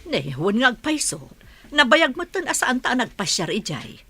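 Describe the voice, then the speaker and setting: A woman's voice: fairly high pitch, very animated, moderate pace, pushed and bright, husky, no room sound, exaggerated voice. A woman playing a sociable village aunt and mother in a radio drama. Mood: sympathetic. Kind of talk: Ilocano radio drama acting.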